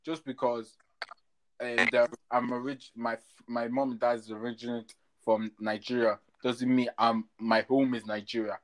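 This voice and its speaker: A man's voice: mid-range voice, little pitch movement, moderate pace, rough recording. A man from Ireland in a heated casual discussion, rambling and often checking that he is understood. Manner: rambling, repeats "you get what I mean".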